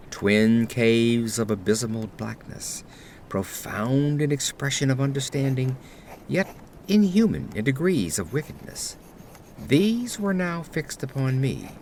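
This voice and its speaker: A man acts as a haunted aristocrat telling his gothic horror tale in an audio drama. His delivery is grave, formal and theatrical, with a very animated rise and fall. Faint noise and an ambient bed sit behind the voice. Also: mid-range voice. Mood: dread. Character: haunted aristocratic man recounting terror in grave, ornate words.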